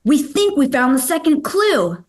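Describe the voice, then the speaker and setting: Woman as an animated young rescue-team boy leader. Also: high voice, a little slow. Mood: earnest. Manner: animated, earnest. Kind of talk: table read of a children's story script.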